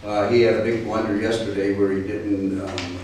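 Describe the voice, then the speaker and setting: A man's voice: lowish voice, flat delivery, moderate pace. Middle-aged American male consultant, thoughtful and candid, far from the mic, faint room noise. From United States. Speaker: political consultant. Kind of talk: panel discussion answering questions. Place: university lecture hall. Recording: echoey lecture hall from far off.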